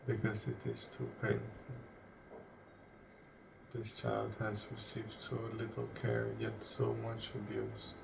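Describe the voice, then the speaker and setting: Man, low creaky voice, solemn meditation guide, short deliberate phrases, distant mic. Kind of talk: guided meditation, spoken slowly and reflectively. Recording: distant mic with clear background noise.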